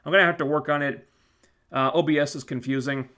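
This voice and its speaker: Guy streaming, voice going, kinda high, lots of uh and restarts. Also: fairly even intonation, quick pace, sharp tone. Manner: rambling with many fillers and restarts. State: losing his voice. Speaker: YouTube channel host. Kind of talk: casual live stream wrap-up.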